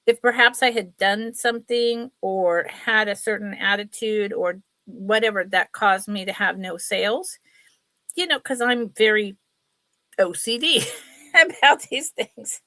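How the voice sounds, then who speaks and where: A middle-aged woman hosts a casual livestream sale in a bright, forward voice with a sniffly, congested nose. She talks at an unhurried pace and rambles into chatty asides. The sound is close and dry. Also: medium pitch, no echo.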